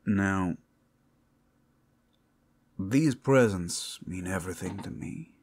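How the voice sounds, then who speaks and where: A man playing a tender, protective werewolf lover in an intimate ASMR roleplay, speaking in halting, heartfelt phrases full of endearments. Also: low voice, husky, heavily acted. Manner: soft endearments, halting heartfelt delivery, teasing asides. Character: tender, devoted, protective male werewolf lover working at a brothel.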